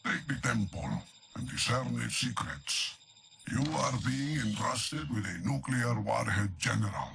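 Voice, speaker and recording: An actor playing a grave, authoritative military strategist issuing orders in a video game briefing. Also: male voice, mid-range voice, lively intonation, measured pace, creaky, background noise. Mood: solemn command. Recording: loud music behind the voice.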